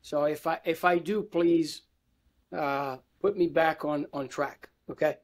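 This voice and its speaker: Higher-pitched Italian-accented man, interview guest. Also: fairly even intonation, unhurried. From Italy. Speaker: interview guest, university lecturer. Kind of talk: conversational interview answers. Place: indoor room with bookshelves, video call.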